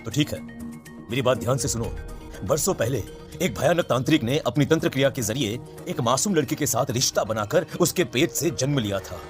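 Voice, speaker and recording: A man's voice: medium pitch, lively intonation, moderate pace, over music, close to an everyday voice. A man playing a grave old village priest in a horror cartoon, solemn and emphatic as he gives warnings. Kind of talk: Hindi horror cartoon voice acting.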